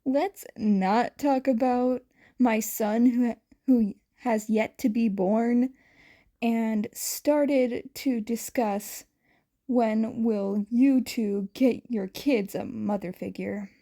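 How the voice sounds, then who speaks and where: A woman's voice: a little high, steady tone, extremely slow, mellow, full character voice. A woman voicing a casual, smirking, teasing man in a story.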